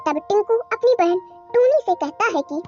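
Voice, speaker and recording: A woman narrates a children's story in an extremely high voice. The recording is a bit dull, with soft music playing underneath. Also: animated, normal speed.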